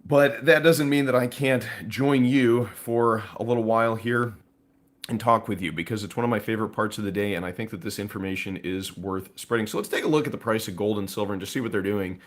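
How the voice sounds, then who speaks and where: A man with a hoarse, raspy voice hosting a live stream, talking through market news casually to viewers. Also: on the low side, brisk. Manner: conversational, with frequent fillers and asides to viewers. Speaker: host of a precious metals live stream.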